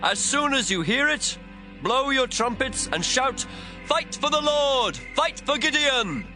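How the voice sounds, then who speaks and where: A man's voice: very high-pitched, animated, unhurried, music in the background. A male actor playing a bold, fiery ancient commander in a dramatized audio Bible, with a commanding, declamatory delivery. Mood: rousing, commanding.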